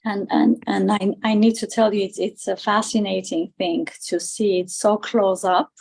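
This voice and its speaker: A woman, answering conversationally as an interview guest, haltingly, often repeating and rephrasing her words. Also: mid-range voice, flat delivery, creaky, darkish tone, slightly rough. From Armenia. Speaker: interview guest, political candidate.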